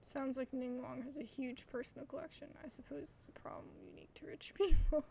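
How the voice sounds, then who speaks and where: A woman's voice: high voice, steady tone, brisk, creaky, warm tone. A woman game streamer giving casual, chatty commentary, thinking aloud informally over faint background noise. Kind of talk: casual let's-play commentary.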